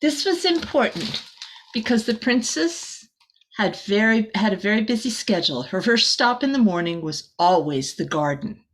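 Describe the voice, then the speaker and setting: A woman reading a picture book aloud to children like a teacher, expressively, with soft chatty asides. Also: mid-range voice, expressive, moderate pace, low-quality recording.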